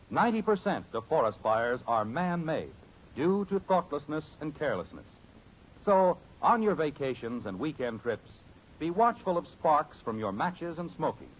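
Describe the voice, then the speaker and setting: Animated, higher-voiced vintage radio announcer, a man. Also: a little slow, a little hiss or hum. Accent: American. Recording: dull old radio recording.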